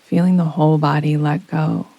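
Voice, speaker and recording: Female meditation guide: soothing, very even intonation, long unhurried pauses, intimate dry sound with rain behind. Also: sits low, dry recording, dull audio. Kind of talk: guided yoga nidra relaxation meditation. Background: soft rain sounds.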